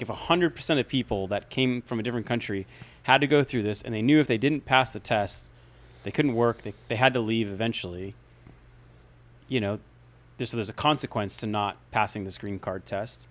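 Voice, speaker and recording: A man with a mid-range voice talks casually on a podcast. He thinks aloud, pausing and restarting his sentences often. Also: mid-range voice, fairly bright tone. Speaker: podcast co-host. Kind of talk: casual chat.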